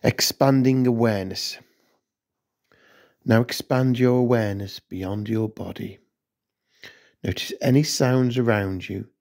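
A man narrating a scripted guided meditation in measured, instructional short phrases. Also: mid-range voice, creaky, a little mellow.

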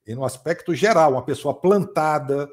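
A man's voice: on the high side, normal speed. A man in his fifties hosting a casual live stream from a home room with bookshelves, teasing his co-host and reading viewer comments aloud. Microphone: headset.

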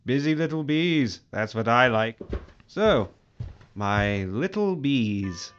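An actor playing a pompous, self-absorbed boss in an audio drama, grandiose and breezily dismissive. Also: male voice, medium pitch, lively intonation, slow, lo-fi sound, a little hiss or hum. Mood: breezy, imperious.

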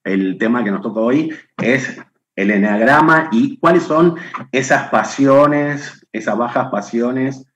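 A man's voice: medium pitch, slow pace, a bit bright, a little hiss or hum. A middle-aged man from Argentina with an Argentine accent, hosting a live webinar from a room at home over a webcam and chatting as he greets viewers by name. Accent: Argentine Spanish. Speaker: webinar host. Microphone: webcam on video call.

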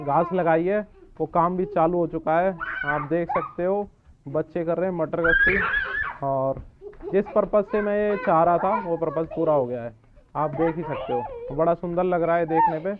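A man's voice: on the high side, phone-quality sound, background noise. A man vlogging casually outdoors on a rooftop terrace. He talks to his viewers as friends and asks the children friendly questions. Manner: chatty, addresses viewers as friends. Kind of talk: casual vlog narration.

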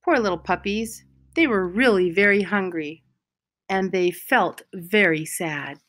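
A woman reading a children's picture book aloud as a storyteller, with deliberate, expressive phrasing and emphasized words. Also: medium pitch.

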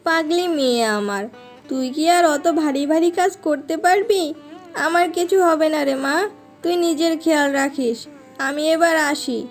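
A woman voicing an ailing, gentle mother fox in a children's cartoon, weary and affectionate. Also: high voice, moderate pace, lightly acted. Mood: tender, reassuring. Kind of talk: Bengali children's cartoon dubbing. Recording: music behind the voice.

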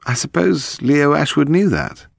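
Man voicing a light, quick-witted wife, pitched up. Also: expressive, gravelly, no room sound, studio quality, exaggerated voice. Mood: speculating. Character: Steve, detective's quick-witted, teasing adult wife.

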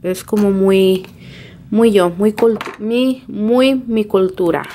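A woman's voice: medium pitch, slow pace, mellow, slight background noise. A woman of about thirty chatting casually as a family vlogger and mother, informal and switching between languages. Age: around 30. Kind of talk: casual vlog chat, talking to camera and children.

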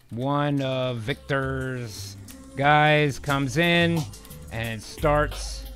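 A man's voice: mid-range voice, fairly bright tone. A young man hosting and narrating, switching between dramatic script reading and casual sweary chat, close on a studio mic. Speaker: host and narrator. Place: small home studio. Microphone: boom-arm studio mic and headset.

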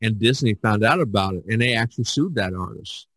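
A man hosting a casual podcast discussion as an artist, speaking haltingly with repeated false starts. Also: fairly low pitch, expressive, a bit bright, dry recording, poor audio.

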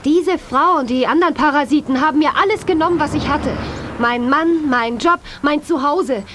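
A woman's voice: high-pitched, moderate pace, close to an everyday voice. A woman playing a wary, bitter widowed mother in a German audio drama, blunt and emphatic. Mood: angry, defensive. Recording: noisy cassette transfer.

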